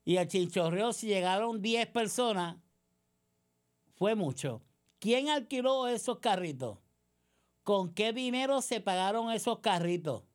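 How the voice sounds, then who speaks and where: A woman's voice: mid-range voice, lively intonation, a little slow. A woman with a Puerto Rican accent delivering a combative political monologue, sarcastic and accusatory, full of rhetorical questions. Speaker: political commentator.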